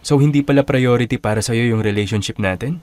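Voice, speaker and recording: A man's voice: mid-range voice, fast, a little mellow, no echo, lightly acted. A Filipino man playing an earnest male college student in a naturalistic, conversational audio drama. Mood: hurt, defensive. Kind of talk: naturalistic radio-style drama acting. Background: background music with guitar.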